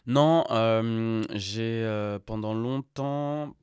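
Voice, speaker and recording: A man in his thirties with a Parisian accent talks through his background in a relaxed, chatty way, often laughing and pausing on fillers. His voice sits very low. The sound is dry and close, with no room in it. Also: smooth, dry recording. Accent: Parisian French. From France. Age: 30s. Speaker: guest, restaurant group director. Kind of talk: casual podcast interview.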